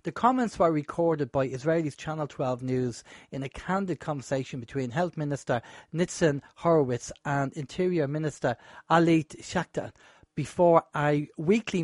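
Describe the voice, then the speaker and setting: A man hosting a radio show, chatty and rambling, a bit hoarse from a sore throat. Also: a little high, no echo, studio quality. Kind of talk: casual radio talk.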